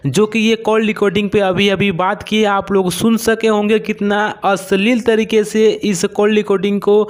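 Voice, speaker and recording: A man's voice: high-pitched, little pitch movement, normal speed. A man with an Indian accent presenting gossip news to online viewers.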